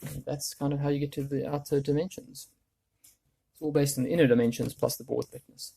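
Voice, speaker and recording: A man with a gravelly voice explaining a woodworking job casually, thinking aloud as he works. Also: medium pitch, fairly even intonation, fairly quick, dark tone. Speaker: DIY woodworking video host. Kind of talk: casual hands-on tutorial talk.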